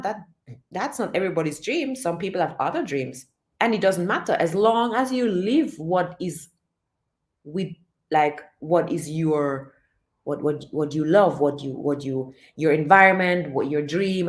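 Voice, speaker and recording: A woman's voice: low voice, unhurried. Encouraging woman in an echoey room. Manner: animated, encouraging, repeats herself. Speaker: vlogger reading live chat. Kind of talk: casual livestream chat.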